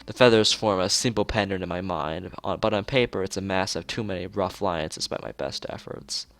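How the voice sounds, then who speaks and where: A man's voice: sits low, a little fast, fairly bright tone, no echo. A man reading a visual novel aloud in an informal let's play, stumbling over words and restarting phrases, with off-the-cuff asides.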